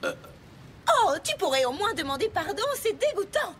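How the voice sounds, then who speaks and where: A woman's voice: very high-pitched, big pitch swings, moderate pace, crowd noise. A woman voicing a prim, fussy young mare pony in a French cartoon dub, with an affected, theatrical manner. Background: cartoon music score.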